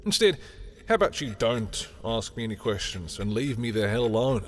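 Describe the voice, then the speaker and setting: A man's voice: fairly low pitch, close to an everyday voice. A man playing a sardonic, petty villain in a scripted audio roleplay, talking in theatrical, sarcastic taunts. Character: sardonic, petty male villain, grudgingly familiar with rival.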